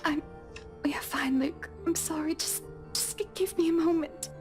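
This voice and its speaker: A man voicing a frightened, hurt young pregnant woman in a faint, breathy whisper. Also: very high-pitched, fairly even intonation, normal speed, soft background music, pitched above the performer's own voice. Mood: shaken.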